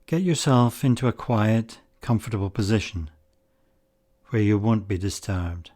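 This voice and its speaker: A man leading a guided meditation, speaking in measured, deliberate short phrases with pauses between them. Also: on the low side, darkish tone. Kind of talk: guided meditation, slow spoken instruction.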